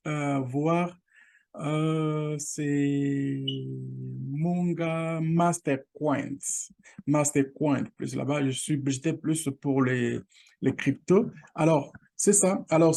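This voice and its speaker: A man whose first language is Lingala, hosting a casual screen-share tutorial, halting and restarting often, speaking straight to his viewers. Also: a little high, dark tone. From Congo region, Lingala first language. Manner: halting, with many restarts and fillers; direct appeals to viewers. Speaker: online course channel host.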